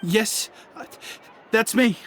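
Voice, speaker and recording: A man's voice: sits high, takes it slowly, heavy background noise. An actor playing a nervous, apologetic male underling in a video game, hesitant and stammering. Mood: nervous, guilty.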